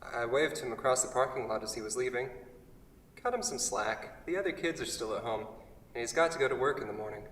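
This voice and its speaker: A man's voice: a little fast, a bit bright, a little hiss or hum, natural voice. A man playing an exasperated college-student son who reasons and placates, in a staged play reading. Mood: placating. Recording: very echoey, rumbly hall from far off.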